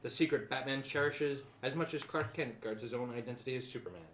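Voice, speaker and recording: A man's voice: medium pitch, fast, slight background noise. A man narrating and hosting an old-time radio serial reading in a theatrical, dramatic announcer style. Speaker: narrator and host. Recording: echoey room, dull sound, lively group behind.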